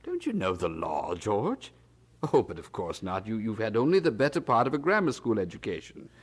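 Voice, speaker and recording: An actor playing a hearty, blustering older brother in an 18th-century audio drama, with a husky voice and a condescending manner. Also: male voice, on the low side, wildly swooping pitch, normal speed, full character voice. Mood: gleeful, condescending. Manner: chuckling, hearty, condescending, stammers when evasive.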